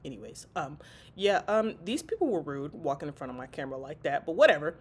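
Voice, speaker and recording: A woman's voice: low voice, big pitch swings, fast talker, breathy edge. Congested woman's casual workout voice-over. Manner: casual, chatty, addresses viewers as y'all. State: congested, stuffy. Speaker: fitness vlogger.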